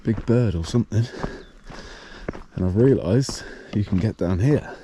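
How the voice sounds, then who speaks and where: A man with a blocked nose and a slightly nasal voice, casually talking through his fishing outdoors by a dam in a relaxed, explanatory way. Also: on the low side, a little slow, background noise. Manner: relaxed, explanatory, thinking aloud. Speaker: fishing vlogger. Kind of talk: casual outdoor vlog narration.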